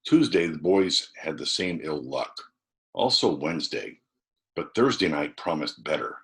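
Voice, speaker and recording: A man's voice: rumbling low, lively intonation, measured pace, a bit bright. A man reading a classic novel aloud as a storybook narrator. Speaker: volunteer storybook reader.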